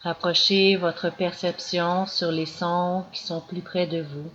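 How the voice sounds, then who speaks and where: Female meditation guide, calm scripted delivery with long pauses, on a dull lo-fi recording with noticeable background noise. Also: fairly low pitch. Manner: slow, calm, measured instructions with long pauses. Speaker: yoga nidra guide.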